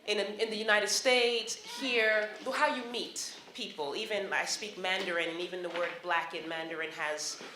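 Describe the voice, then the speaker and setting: A woman's voice: medium pitch, steady tone, normal speed, few pauses, echoey room, background noise. Chatty laughing woman from the audience. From Barbados, raised in Brooklyn, New York. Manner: chatty, laughing often, rambling. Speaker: audience member asking a question. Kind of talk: casual question from the floor.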